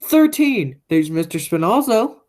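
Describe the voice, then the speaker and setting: A woman's voice: mid-range voice, big pitch swings, unhurried, darkish tone. A young woman playing a menacing, stubborn male criminal in an amateur radio play. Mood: stubborn, boastful. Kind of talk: radio play acting, high school theatre.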